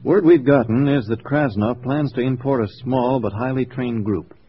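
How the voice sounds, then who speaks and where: An actor playing a brisk, slangy male FBI officer with an American accent, clipped and businesslike, in 1950s radio drama style. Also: mid-range voice, lightly acted. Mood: grim, brisk. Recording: muffled old radio recording, faint noise.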